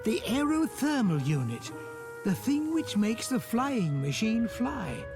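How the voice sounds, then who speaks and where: A man's voice: extremely high pitch, very animated, slow pace, music in the background, heavily acted. An actor voicing a fussy older male inventor who explains things patiently with a touch of condescension. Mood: explaining. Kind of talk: game voice acting.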